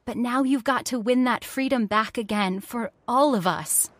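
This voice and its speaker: An actress playing an earnest, emotional young heiress with frightened idealism in a radio horror drama. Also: female voice, on the high side, normal speed, a bit bright, slight background noise, lightly acted. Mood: earnest, resolute.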